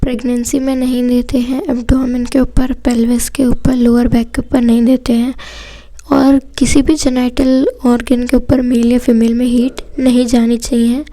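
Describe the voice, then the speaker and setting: A woman with an Indian accent speaks in a high voice that stays very even in pitch. Her tone is soft and mellow as she teaches, laying things out simply, one point after another, with English technical terms mixed in. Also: normal speed, rough recording. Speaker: physiotherapy lecturer. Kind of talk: explanatory lesson.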